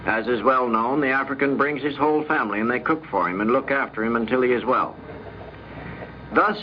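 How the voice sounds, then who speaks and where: A man reading a scripted, reflective account aloud in a formal, deliberate manner. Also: medium pitch. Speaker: diplomat giving a recorded account. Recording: dull, noisy vintage radio recording.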